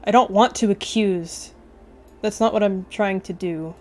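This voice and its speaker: Male deputy cat in a game roleplay, read by a woman: wise, wary, pressing, mid pitch. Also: measured pace, a little mellow, natural voice. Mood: wary, accusing. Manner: hesitant, trailing off.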